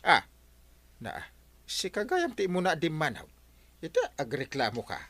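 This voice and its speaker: A man's voice: a little high, wildly swooping pitch, a little slow, a bit bright, heavily acted. A man playing an exasperated village official in a radio comedy drama, fending off a nagging friend in an Ilocano Filipino accent.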